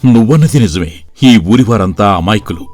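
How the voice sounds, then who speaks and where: A man playing an earnest, resolute young village idealist in a moral story, speaking deliberately and persuasively. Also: fairly low pitch, expressive, a little mellow. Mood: thoughtful. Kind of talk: acted dialogue in a moral story. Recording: music bed under the voice.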